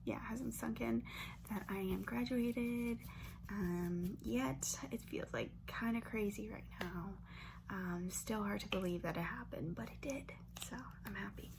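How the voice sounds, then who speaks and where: A woman's voice: medium pitch, unhurried, gravelly. Whispering young woman, close mic, humming noise. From Brazil. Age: 20s. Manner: soft whispering, echoing words over and over as triggers; chatty, filler-heavy, with light laughs. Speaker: ASMR creator. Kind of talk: whispered ASMR Q&A. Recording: noisy hum under voice.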